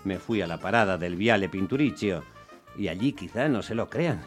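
A man playing an exasperated, unlucky taxi driver, telling his story in a wry, expressive way as radio drama. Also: sits low, moderate pace, faint music behind. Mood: resigned, wry. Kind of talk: dramatised first-person radio narration.

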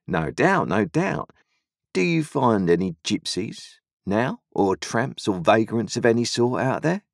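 A man voicing a cunning, secretive stranger who asks probing questions in a sly, drawn-out way. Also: medium pitch, very animated, creaky, dry recording, clean studio sound, pitched above the performer's own voice, exaggerated voice.

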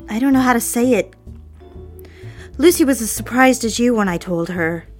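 An actress playing an anxious, hurt young secretary who speaks politely and hesitantly, confiding in an audio drama. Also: female voice, fairly high pitch, expressive, normal speed, soft background music. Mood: troubled, confiding.

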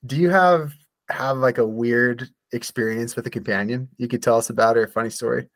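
A man's voice: mid-range voice, a little fast, no echo. Upbeat male podcast host. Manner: upbeat, encouraging. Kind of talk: friendly interview questions.

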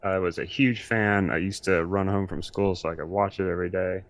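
Low-voiced middle-aged man. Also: steady tone, fairly quick, dry recording. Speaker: guest anime expert. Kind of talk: casual chat, explanatory.

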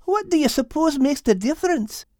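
An eager, curious female Scottish dog put on by a woman for a children's audiobook. Her voice is very high and animated, with a Scottish accent and an inquisitive manner, recorded close and dry. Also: moderate pace, dry recording.

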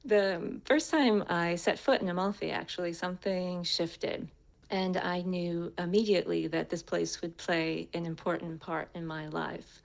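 Creaky-voiced American woman, slightly low. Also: steady tone, unhurried, low-quality recording. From United States. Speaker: co-host and guest speaker telling her story. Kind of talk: prepared personal introduction, conversational storytelling.

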